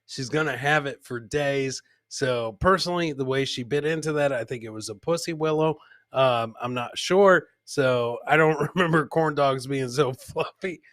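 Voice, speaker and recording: Bright-voiced man bantering on a comedy show. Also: medium pitch, moderate pace, no room sound, studio quality. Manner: repeats phrases for comic effect; chatty, addresses co-host and live viewers by name. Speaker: comedy podcast host. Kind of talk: casual live clip-show banter.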